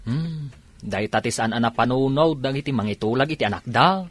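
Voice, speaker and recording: A man playing an inquisitive family man in an Ilocano audio drama, with a husky voice. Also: medium pitch, fairly quick, no room sound, lightly acted. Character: inquisitive husband chatting about a marriage pact.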